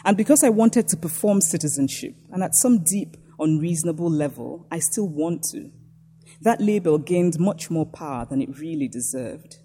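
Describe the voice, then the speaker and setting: A woman from Nigeria with a Nigerian accent, reading a prepared lecture in a measured, reflective way. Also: low voice, lively intonation, mellow, long reverb tail, slight background noise.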